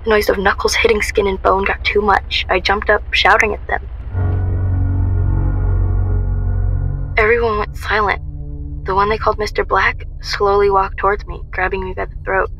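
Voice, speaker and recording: A woman's voice: medium pitch, little pitch movement, fairly quick, vocal fry, fairly bright tone. A woman acting as an anxious, wry young shop worker who narrates her own story in a radio drama. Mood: tense, fearful.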